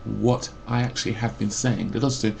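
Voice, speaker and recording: A man in his forties, a mental health support worker, ranting about his job in a loud, rambling way full of fillers and restarts. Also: on the low side, flat delivery, normal speed, creaky, a little hiss or hum. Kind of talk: rambling personal rant.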